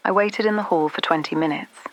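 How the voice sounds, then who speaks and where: A woman's voice: fairly low pitch, fairly quick, a bit bright, rough recording. A woman playing a self-possessed client in a 1940s noir radio drama, composed, dry and matter-of-fact. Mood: cool, unruffled.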